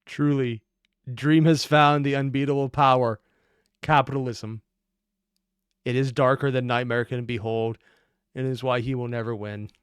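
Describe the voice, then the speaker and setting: Guy doing casual reaction commentary, medium pitched voice, super dry close recording. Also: fairly even intonation, normal speed, pauses often, smooth, dry recording. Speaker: reaction video host.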